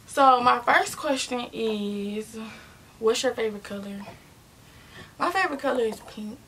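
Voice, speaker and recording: Chatty nineteen-year-old woman with a gravelly edge. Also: on the high side, animated, measured pace, slight background noise. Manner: chatty, rambling, restarts and self-corrections, drops into quiet asides. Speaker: vlogger. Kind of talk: casual Q and A talking to viewers. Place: bedroom, indoors, small.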